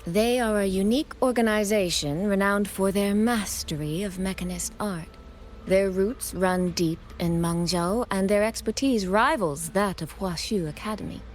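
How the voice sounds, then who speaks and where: A woman playing a composed, secretive young elder sister in a video game, speaking in a measured, playfully teasing way. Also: medium pitch, a little hiss or hum, natural voice. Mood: serious, measured. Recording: music behind the voice.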